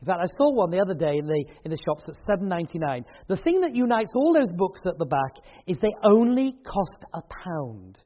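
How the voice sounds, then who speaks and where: A man's voice: high voice, very animated, fairly quick, few pauses, lo-fi sound. A man hosting a church event, chatting and joking with the audience in a hall. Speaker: event host and speaker. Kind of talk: casual chat at a church event.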